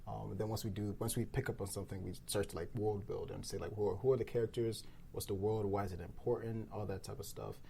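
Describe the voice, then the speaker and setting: A podcast co-host with a creaky, mellow voice, talking casually at a round table. The delivery is animated and emphatic, full of slang. A faint room noise sits behind the speech. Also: deep voice, quick pace, few pauses. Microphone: podcast microphone.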